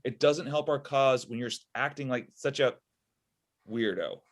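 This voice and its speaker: A man's voice: medium pitch, normal speed, lightly acted. A man in his thirties playing a sensible, exasperated boss trying to manage a robot in a comedy table read. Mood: weary, earnest. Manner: earnest, exasperated. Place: indoor room at home, video call. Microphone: video call.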